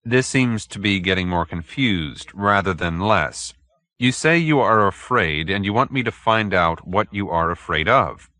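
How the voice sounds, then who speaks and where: A skeptical private detective, read by a male narrator in an audiobook story. He is businesslike, blunt and probing, with a low voice and expressive, pointed intonation. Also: unhurried, no echo.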